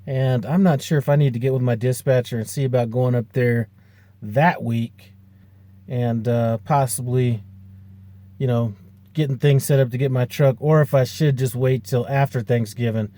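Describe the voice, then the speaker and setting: A man's voice: mid-range voice, steady tone, normal speed, warm tone. A man in his fifties, a truck driver, chatting casually to camera in a rambling way with lots of fillers and restarts. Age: 50s. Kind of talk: casual vlog chat.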